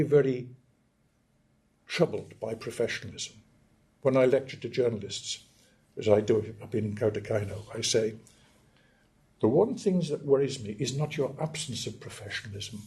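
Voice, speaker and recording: An older man from northeast England, giving a measured, emphatic academic lecture and often clearing his throat. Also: mid-range voice, dark tone. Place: lecture hall.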